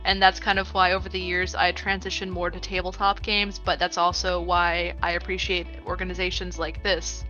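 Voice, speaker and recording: Earnest woman panelist, bright tense feminine voice, faint music. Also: on the low side, level tone, moderate pace, hardly any pauses, lo-fi sound. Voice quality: typically feminine voice. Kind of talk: casual panel talk.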